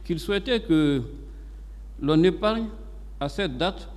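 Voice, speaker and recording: A man gives formal court testimony in a measured, deliberate way, pausing between short phrases. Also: fairly high pitch, expressive, darkish tone. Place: large courtroom hall. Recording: reverberant hall, long echo.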